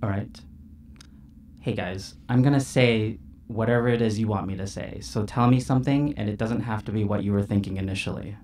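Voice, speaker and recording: A man's voice: lowish voice, a little fast, a little mellow, slight background noise. A man playing a character in a tabletop game, talking casually in and out of role.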